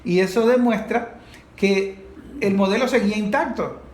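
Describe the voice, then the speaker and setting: A man's voice: high-pitched, normal speed. A Venezuelan man in an unscripted discussion, making emphatic points through repetition. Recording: echoey room.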